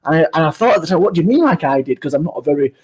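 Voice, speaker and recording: Husky, slightly high-pitched Yorkshire man, 50s, down-to-earth speaker. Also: big pitch swings, racing. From Yorkshire, England. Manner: down-to-earth, reflective. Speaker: keynote speaker, guest. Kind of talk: interview.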